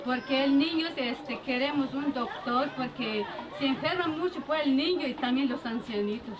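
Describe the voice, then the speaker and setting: A woman interviewed in a crowded barn, speaking haltingly with fillers. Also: high-pitched, muffled recording, a crowd in the background. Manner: halting simple speech with fillers. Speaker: displaced community member being interviewed. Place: crowded wooden barn indoors.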